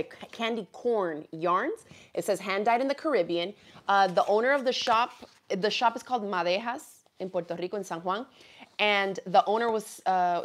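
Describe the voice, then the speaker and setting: A woman in her thirties from Miami, a native Spanish speaker, hosting a casual live craft chat, animated and quick to laugh, at a fixed camera in a small craft room. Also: medium pitch. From Miami, USA. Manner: chatty and animated, laughs often, reads viewer comments aloud. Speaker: craft channel host. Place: small indoor craft room with quilts on the wall.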